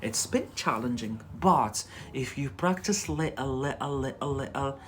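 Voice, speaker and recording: An English teacher hosting a casual live lesson, speaking haltingly and repeating words as drills while chatting with viewers. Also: mid-range voice, animated, a bit bright, slight background noise. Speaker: English teacher, livestream host.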